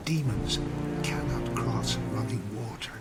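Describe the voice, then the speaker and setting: A man's voice: mid-range voice, unhurried, loud music behind the voice, natural voice. An actor playing a brave, dryly sardonic holy man in a video game, speaking colloquially and unimpressed by demons. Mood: defiant. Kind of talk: video game character acting. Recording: clear background noise.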